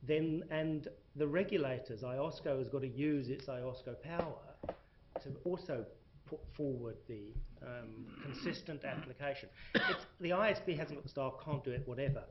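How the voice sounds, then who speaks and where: A man's voice: mid-range voice, normal speed, background noise. Muffled South African man on a panel. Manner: emphatic, restarts, hesitant fillers. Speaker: panel speaker, corporate reporting. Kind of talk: panel discussion. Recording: muffled lo-fi recording.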